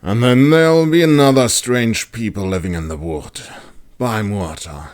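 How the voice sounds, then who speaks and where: A man's voice: on the low side, moderate pace, pitched above the performer's own voice, close to an everyday voice. A man playing a wary mercenary in an audiobook, blunt and given to grumbling and lamenting.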